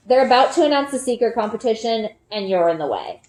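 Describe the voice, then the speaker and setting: A woman's voice: on the high side, sing-song, fast talker, a little mellow, reverberant. A woman voicing a brusque, dismissive fourteen-year-old village boy. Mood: scornful.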